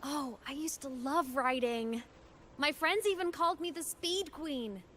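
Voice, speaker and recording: A woman's voice: high-pitched, measured pace, a bit bright, a little hiss or hum. An actress playing a confident, nostalgic woman with a daredevil past in video game story dialogue. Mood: playful, nostalgic.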